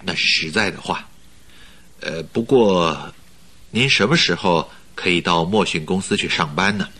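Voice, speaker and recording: An actor in a Mandarin radio drama playing a polite, flattering company recruiter who talks in a measured, persuasive way. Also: male voice, sits low, breathy edge, exaggerated voice. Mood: courteous, flattering. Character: smooth-talking male company recruiter, flattering, persuasive schemer.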